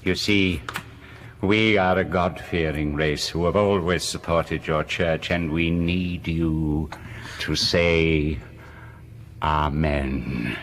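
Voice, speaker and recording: An actor playing a genteel undead undertaker in a radio horror drama, courteous, deliberate and sinister in his politeness. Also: rumbling low, steady tone, fairly bright tone, exaggerated voice. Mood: smoothly insistent.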